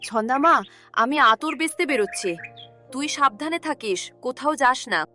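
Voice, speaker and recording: Woman acting poor perfume seller, caring cartoon mother, earnest, gentle, higher pitch, light music. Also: expressive, moderate pace. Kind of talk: Bengali cartoon fairy-tale voice acting.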